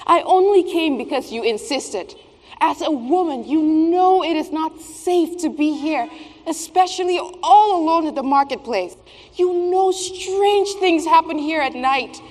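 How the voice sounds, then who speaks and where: A woman's voice: sits high, lively intonation, measured pace, a little mellow. A woman playing a well-meaning, optimistic customer in a stage play, earnest and concerned. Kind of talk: theatrical stage play acting. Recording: echoey stage hall.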